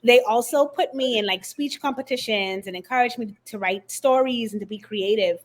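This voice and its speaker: A middle-aged woman from the Bahamas, a guest author and confidence coach, telling her story in an expressive, animated way during an interview. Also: a little high, normal speed. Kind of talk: conversational interview.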